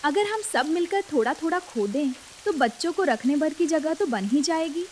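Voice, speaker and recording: A woman voicing a high-pitched village bahu. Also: expressive, normal speed, a little mellow, slight background noise. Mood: hopeful. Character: resourceful young village daughter-in-law.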